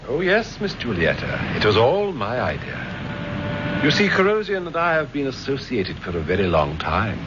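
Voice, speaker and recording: An actor playing a formal, self-satisfied criminal schemer in a vintage radio crime serial. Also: male voice, mid-range voice, huge pitch swings, normal speed. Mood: gloating. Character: smug middle-aged or older male criminal schemer. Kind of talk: vintage radio drama acting. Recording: dull, faintly noisy vintage radio recording.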